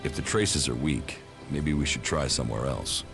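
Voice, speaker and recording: A brooding game warrior commander, super deep and croaky, solemn and clipped, music behind. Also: male voice, moderate pace. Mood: terse, calm. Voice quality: gravelly. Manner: terse, solemn. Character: stoic brooding exiled sci-fi commander, cold and doubting. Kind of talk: video game cutscene acting.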